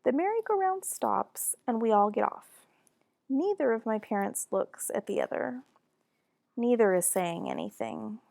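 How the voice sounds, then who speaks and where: A woman reading a children's storybook aloud in an expressive, deliberate and animated narration. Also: on the high side, gravelly, dry recording, clean studio sound. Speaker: children's book reader.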